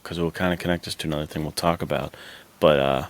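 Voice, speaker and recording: Very deep-voiced man chatting casually. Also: fairly even intonation, fast. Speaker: podcast co-host. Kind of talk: casual chat about films.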